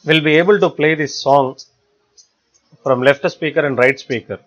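A man giving a step-by-step software tutorial in a halting, repetitive way. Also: on the high side. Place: voice-over for screen recording. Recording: dull, lo-fi screencast audio.

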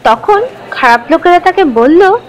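A woman playing a young cartoon storyteller who teaches children religious tales in an expressive, instructive way. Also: very high voice, measured pace, low-quality recording. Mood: instructive, engaged.

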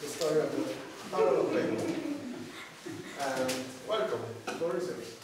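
A man in his thirties, hesitant and halting, giving a formal introduction of a guest lecturer from notes. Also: a little high, big pitch swings, distant mic. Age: 30s. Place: small lecture room with seated audience, indoors. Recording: echoey room from afar, noisy background.

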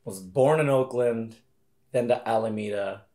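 A man's voice: mid-range voice, a little slow, dark tone. Male, late 30s, American, from Hawaii. Medium register, casual step-by-step personal storytelling. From Hawaii, United States. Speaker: interviewee. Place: indoor living room, seated in armchair.

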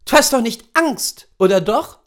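Man voicing an eager, high-pitched, needling safari wife. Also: moderate pace. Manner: excited, insistent, needling.

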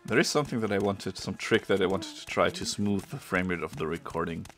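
A man with a German accent, a non-native English speaker, giving chatty let's-play commentary and sometimes pausing to search for a word. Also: low voice, lively intonation, a bit bright, faint music behind. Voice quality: gravelly. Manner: chatty, pauses to search for words. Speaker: gameplay streamer.

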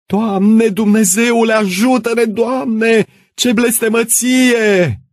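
Man as a wailing peasant woman, high cries. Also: steady tone, dry recording, studio quality, distant mic. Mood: horrified pleading. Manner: crying out, imploring. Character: frightened village woman wailing prayers, arms raised.

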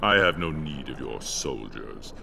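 An actor playing a cold, haughty evil sorcerer in a video game, gravelly and pompously formal. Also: male voice, expressive, a little slow, very noisy, exaggerated voice. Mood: haughty menace. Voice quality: deep, gravelly. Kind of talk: cinematic game villain dialogue. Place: studio recording with loud music behind.

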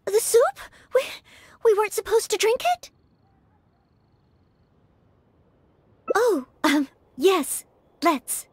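Anime game maid-knight: young, very high and lilting, courteous, earnest, easily anxious. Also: female voice, animated, a little slow, dry recording. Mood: embarrassed. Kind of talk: anime-style video game dialogue.

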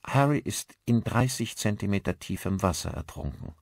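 Man voicing a chatty village woman, pitched up. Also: expressive, normal speed, dry recording. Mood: matter-of-fact. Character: chatty village woman sharing local tragedies.

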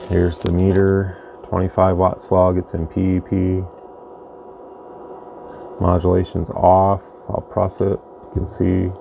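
A man's voice: low voice, completely flat delivery, slow pace, creaky, mellow, poor audio. A man giving a casual, plain-spoken, rambling product demonstration as a channel host at his indoor workbench. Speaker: radio bench reviewer, channel host. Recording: faint background noise, room ambience.

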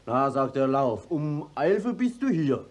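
A man's voice: fairly high pitch, big pitch swings, a little slow. An actor playing a stubborn, plain-spoken young peasant who tells his story at length in a radio play. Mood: earnest storytelling. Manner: rustic, stubborn, long-winded storytelling. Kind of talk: classic German stage radio drama acting.

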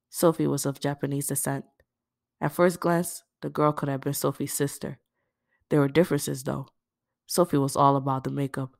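A woman's voice: sits low, a little mellow, no room sound, studio quality. A woman reading a first-person novel aloud as scripted narration. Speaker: audiobook reader.

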